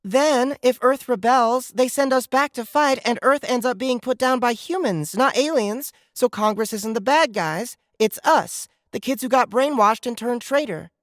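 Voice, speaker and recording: A woman's voice: fairly high pitch, a little slow, hardly any pauses, no echo, clean studio sound, lightly acted. A woman voicing an earnest, clever but shy eight-year-old girl in a science fiction audiobook. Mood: earnest, insistent.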